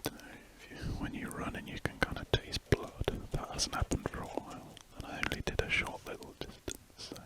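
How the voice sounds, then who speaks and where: A speaker, chatting in a soft, rambling ASMR whisper while drawing. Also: fairly quick, few pauses. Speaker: ASMR drawing channel host.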